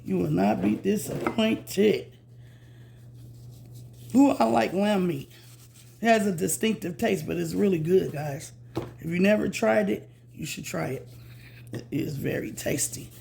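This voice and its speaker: A woman hosting a casual home cooking vlog, chatty and repetitive, her voice slightly hoarse from a recent illness. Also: medium pitch, unhurried, long pauses, a little mellow, a little hiss or hum. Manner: chatty, says "guys" constantly, repeats herself. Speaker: cooking channel host. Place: home kitchen. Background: food sizzling in a pan.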